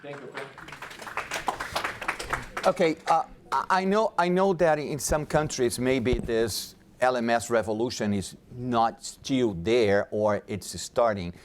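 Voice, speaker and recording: A man's voice: mid-range voice, slow, noisy background. Man presenting at a conference. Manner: conversational, many restarts and fillers. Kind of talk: conference panel talk.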